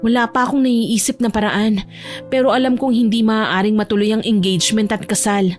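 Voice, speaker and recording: A woman narrator plays a young heiress in trouble, planning her escape. The voice is fairly high and youthful, in romance radio drama style, over faint music. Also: steady tone, brisk, close to an everyday voice. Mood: worried, pleading. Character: troubled young heiress plotting to run away.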